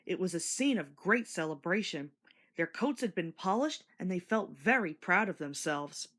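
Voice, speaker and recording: A woman narrating a scripted children's story in an expressive storytelling manner. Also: on the low side, moderate pace.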